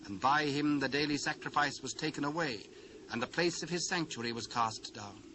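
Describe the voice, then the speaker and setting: A man's voice: a little high, a little fast, noisy background. A British man narrating scripture in a solemn, formal storytelling manner for a dramatised reading.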